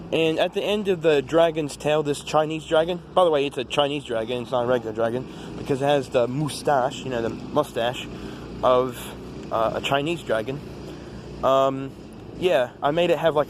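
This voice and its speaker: Teenage American boy vlogging over loud outdoor noise. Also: medium pitch. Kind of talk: casual phone vlog recapping the day. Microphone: phone. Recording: noisy outdoor phone recording with traffic.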